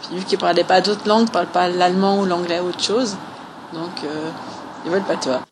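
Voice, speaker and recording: A woman's voice: lowish voice, steady tone, fairly quick, recorded from a distance, noisy background. A woman, giving a personal testimony in a hesitant way, with many fillers and phrases that trail off. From Valais, Switzerland. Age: 20s. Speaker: interviewee.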